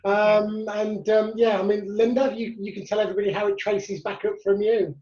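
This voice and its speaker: A man's voice: sits high, level tone, fairly quick, darkish tone. A man on a family video call, politely and hesitantly working out how he is related to the others. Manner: hesitant, polite, lots of fillers. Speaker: younger relative. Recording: dull, echoey video call audio.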